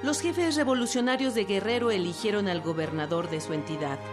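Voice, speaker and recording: A woman's voice: medium pitch, brisk. Woman narrating over music. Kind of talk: scripted documentary drama narration. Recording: music behind the voice.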